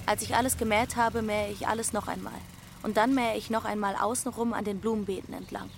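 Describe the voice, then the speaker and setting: A woman's voice: mid-range voice, fairly even intonation, a little fast, vocal fry, slight background noise, lightly acted. A woman playing a young runaway girl who narrates in a plain, observant way in a radio drama. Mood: matter-of-fact. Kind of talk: scripted first-person narration.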